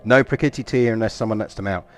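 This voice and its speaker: A man's voice: lowish voice, fast talker, soft background music. An Englishman chatting casually while live-streaming a game, rambling and self-deprecating. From England. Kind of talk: casual live gameplay commentary.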